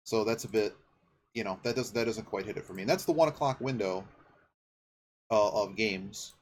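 A man's voice: fairly low pitch, moderate pace, a little hiss or hum. A man hosting a sports podcast, giving casual off-the-cuff football commentary and thinking aloud in a rambling way. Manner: rambling, thinking aloud, checking facts mid-sentence.

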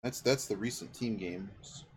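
A man's voice: fairly low pitch, a little slow, husky. A man hosting a sports podcast, giving casual off-the-cuff football commentary and thinking aloud in a rambling way. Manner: rambling, thinking aloud, checking facts mid-sentence.

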